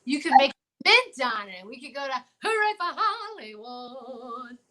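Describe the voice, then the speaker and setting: A middle-aged American woman co-hosting a talk show in casual, expressive chat full of exclamations. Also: sits high, a little slow.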